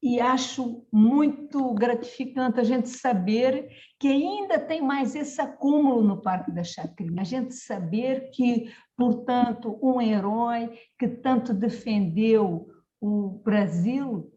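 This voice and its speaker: A woman's voice: on the high side, slow, mellow. A woman from Mozambique with a European Portuguese accent, speaking at an online panel, hesitant with fillers and self-corrections. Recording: dull lo-fi video call audio.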